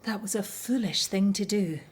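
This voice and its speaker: A woman playing a practical, disapproving Hebrew wife and mother with a husky voice in a scripted radio drama. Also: mid-range voice, moderate pace, close to an everyday voice. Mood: concerned.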